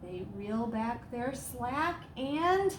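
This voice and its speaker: Animated, high-voiced woman reading a storybook. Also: extremely slow. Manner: expressive, dramatic emphasis. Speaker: staff storyteller. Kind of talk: animated children's storybook read-aloud. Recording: roomy echo, clear background noise.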